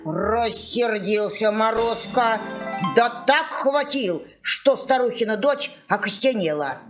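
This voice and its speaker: A woman storyteller giving an expressive scripted fairy-tale narration. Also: fairly high pitch, takes it slowly. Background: music.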